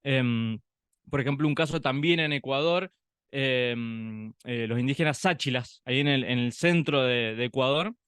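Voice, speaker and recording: A man co-hosting a podcast, talking through his thoughts in a relaxed, reflective way, with frequent pauses and tag questions. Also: mid-range voice, clean tone, no echo, studio quality. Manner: reflective, thinks aloud with many "em" pauses and tag "¿no?". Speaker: podcast co-host. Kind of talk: conversational podcast chat.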